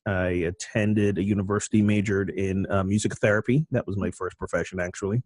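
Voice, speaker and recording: Middle-aged man, low register, dry close sound; easygoing guest storyteller. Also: brisk, no echo. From Barbados. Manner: relaxed, self-deprecating, with frequent fillers. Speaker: minister, show guest. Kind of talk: casual conversational storytelling.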